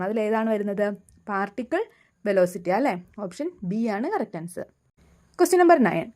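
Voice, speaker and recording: A woman with a Malayali accent working through a scripted quiz lesson as an exam tutor, reading items out in a deliberate, enunciated way. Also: a little high, a little mellow.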